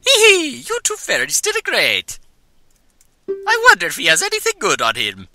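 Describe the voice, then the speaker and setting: A man voicing an impish, boastful forest imp with a silly fake Italian accent, very high above his own voice. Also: huge pitch swings, normal speed, bright, forceful tone, full character voice. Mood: goofy boastful. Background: game music.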